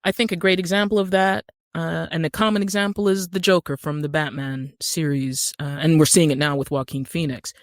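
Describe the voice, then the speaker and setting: A woman with an American accent, talking as an interview guest in a conversational, animated storytelling way that acts out bits of dialogue. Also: sits low, a little fast, hardly any pauses, dry recording, clean studio sound. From Utah, United States. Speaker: guest, actor and screenwriter.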